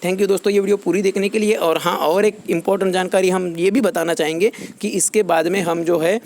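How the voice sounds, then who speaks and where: A man's voice: high-pitched, fairly even intonation, fairly quick, a little mellow. A man hosting an informal explainer for his channel's viewers, wordy and often repeating himself. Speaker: YouTube channel host.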